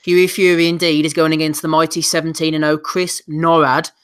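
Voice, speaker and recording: A man hosting a relaxed boxing chat podcast, his voice sitting a little higher than most men's. He moves things along briskly and reads out listener questions, and he sounds slightly hoarse. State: losing his voice. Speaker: podcast host.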